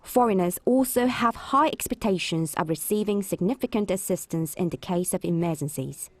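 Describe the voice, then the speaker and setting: A woman reporter reading a scripted news report in a measured, even delivery. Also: lowish voice.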